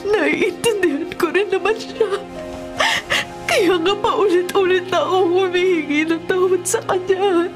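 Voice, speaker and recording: A woman's voice: very high voice, measured pace, music in the background. A woman acting in a Filipino radio drama as a remorseful, humble middle-aged mother with a pleading, sorrowful manner.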